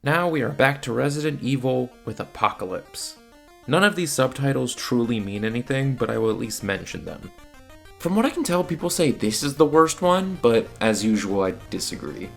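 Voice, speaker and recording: A man's voice: mid-range voice, animated, moderate pace, gravelly, soft background music. A man narrating a scripted video review with casual asides, in a blunt, exasperated manner.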